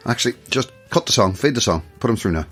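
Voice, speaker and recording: A man's voice: mid-range voice, expressive, quick pace, fairly bright tone, breathy edge, faint music behind. An actor playing an edgy late-night radio host with wry showman patter in an audio drama. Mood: wry, brisk. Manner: wry showman patter, short punchy phrases.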